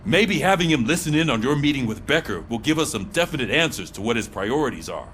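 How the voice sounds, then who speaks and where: A man's voice: medium pitch, big pitch swings, fast talker, a bit bright, slight background noise. A man playing a skeptical, probing police colleague in a video game, talking in a wary conversational way. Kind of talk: video game cutscene acting.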